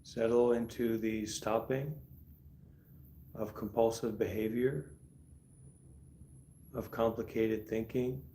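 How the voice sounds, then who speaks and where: A man guiding a meditation calmly and deliberately, speaking in short instructive phrases with long pauses between them. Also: mid-range voice, a little hiss or hum. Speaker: meditation teacher. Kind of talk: guided meditation.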